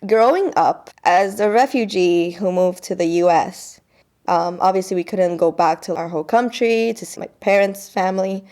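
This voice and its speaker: A woman's voice: medium pitch, moderate pace, darkish tone. A young woman from Colombia speaking casually and reflectively in an interview, with many fillers.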